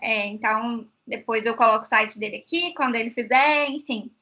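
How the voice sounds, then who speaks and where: A woman's voice: fairly high pitch, brisk, pushed and bright, dull audio. A woman with a Brazilian accent chatting casually as a host. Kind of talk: casual chat.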